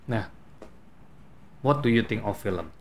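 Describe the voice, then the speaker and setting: A man's voice: on the low side, animated, takes it slowly, darkish tone. A male interview host asking questions in a chatty, prompting way, mixing in English phrases. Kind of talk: casual interview.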